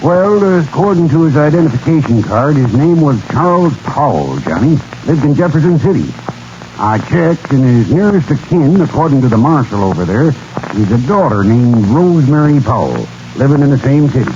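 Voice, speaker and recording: Small-town sheriff for radio western, male actor: easygoing, plain-spoken American, warm mellow voice. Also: mid-range voice, very animated, moderate pace, muffled recording, natural voice. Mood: matter-of-fact. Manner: folksy, matter-of-fact. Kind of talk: 1940s radio western acting. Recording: faintly noisy vintage radio broadcast.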